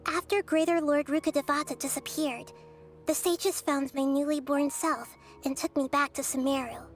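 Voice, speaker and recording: A woman voicing a wise, humble young girl deity in a video game, speaking softly and earnestly in measured, formal phrases. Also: high-pitched, fairly even intonation, natural voice. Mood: wistful, humble. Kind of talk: scripted video game character dialogue. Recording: music under the voice.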